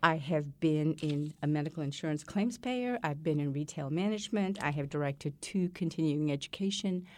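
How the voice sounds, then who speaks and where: A woman in her sixties from Malaysia, articulate and measured as she answers questions in an oral history interview. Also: deep voice, dark tone, no room sound. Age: 60s. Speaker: interviewee, college diversity director.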